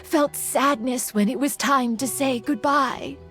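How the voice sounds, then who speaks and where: Game storyteller: sorrowful female spirit, slightly high voice, solemn and reflective, music underneath. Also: a little slow, a bit bright. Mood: wistful, solemn. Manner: solemn, reflective, breathy phrase endings. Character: fading female yokai spirit, world-weary, sorrowful storyteller.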